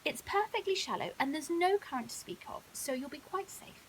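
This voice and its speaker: Woman playing a high-voiced, smugly clever lady crocodile. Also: expressive, fairly quick, rough recording. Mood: reassuring. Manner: polite, assured, instructing. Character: clever, teasing female crocodile, smug and calm. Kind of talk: children's bedtime story reading. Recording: audible hiss.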